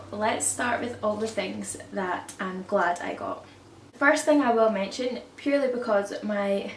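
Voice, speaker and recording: Croaky woman chatting to camera. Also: mid-range voice. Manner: rambling, self-correcting, chatty. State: croaky from a cold. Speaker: parenting vlogger. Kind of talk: casual chat to camera. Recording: echoey room, distant mic, faint hiss.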